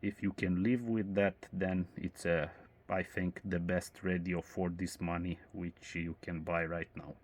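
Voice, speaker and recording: Deep-voiced male radio operator, casual chat, measured and monotone delivery. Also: slight background noise.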